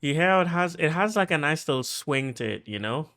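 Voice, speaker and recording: A man with a Trinidadian accent casually talking through how he produced a track, chatty and full of fillers, getting excited as he goes. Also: fairly high pitch, a little fast, over music. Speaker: music producer.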